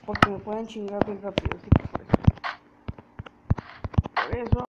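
A woman's voice: mid-range voice, steady tone, normal speed. A woman giving casual commentary on her own gameplay, muttering to herself and chanting repeated words. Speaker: gamer. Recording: muffled lo-fi audio with loud noise.

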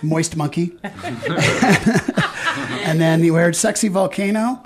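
A man's voice: fairly high pitch, slow, a crowd in the background. A man being interviewed as a band's songwriter, chatting casually and hesitantly with quirky dry humour. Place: live in a radio studio.

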